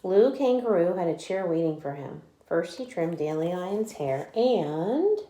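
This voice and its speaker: A woman reading a picture book aloud to children like a teacher, with animated storytelling and chatty asides. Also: lowish voice, measured pace. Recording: echoey room.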